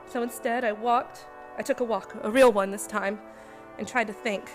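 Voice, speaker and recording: Woman acting a high-voiced young female con artist. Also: steady tone, moderate pace, soft background music, lightly acted. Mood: anxious, scheming. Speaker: actor in a community radio play. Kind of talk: noir radio play, first-person narration and dialogue.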